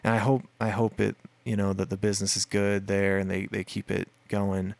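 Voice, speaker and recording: A man with a deep voice, a musician guest in a casual interview, telling a rambling story full of fillers and restarts. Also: monotone, normal speed, creaky, a little mellow, no room sound.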